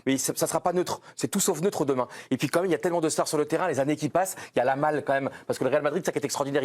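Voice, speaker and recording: Fast-talking male football pundit. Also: a little high. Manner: rapid, searching for words. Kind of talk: studio debate commentary.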